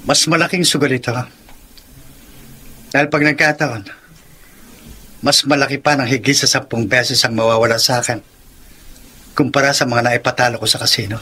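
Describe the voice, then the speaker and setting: A man's voice: mid-range voice, steady tone, normal speed, pauses often, bright, forceful tone, breathy edge. An actor playing a troubled, defensive married man cornered by debts, in Filipino radio drama style, with a Filipino accent. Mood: bitter, resigned.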